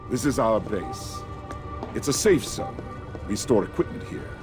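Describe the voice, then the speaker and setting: An actor playing a steady resistance organiser, briefing recruits in a matter-of-fact way in a video game. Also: male voice, on the low side, unhurried, music in the background. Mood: businesslike.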